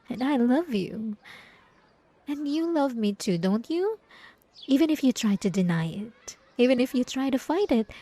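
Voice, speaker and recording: A woman's voice: a little high, mellow, slightly rough, exaggerated voice. A woman playing an obsessive, gaslighting ex-girlfriend in an ASMR roleplay, coaxing with condescending, cloying sweetness. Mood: anxious, possessive. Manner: coaxing, cooing, condescending pet names.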